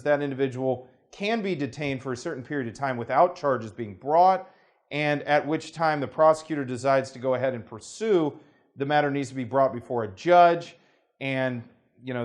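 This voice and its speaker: American lawyer guy explaining stuff, formal and careful, lots of qualifiers, middle pitch. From United States. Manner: measured, formal legal phrasing with hedges and restarts. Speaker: attorney presenting a legal explainer. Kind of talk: unscripted talking-head explanation.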